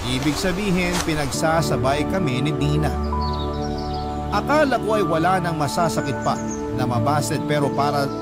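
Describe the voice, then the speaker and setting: A man's voice: fairly high pitch, natural voice. A man with a Filipino accent narrating his own heartbreak as a letter writer in a radio drama, earnest and heavy-hearted. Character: young man, wounded and resigned storyteller. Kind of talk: dramatic first-person narration. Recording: loud music bed under voice.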